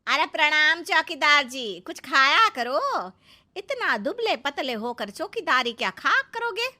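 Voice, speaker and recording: A woman's voice: high-pitched, very animated, a little slow, sharp tone, heavily acted. A woman playing a brash, naive older village mother-in-law in a comic cartoon story, scolding and literal-minded in a folksy way. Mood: brash, cheerful.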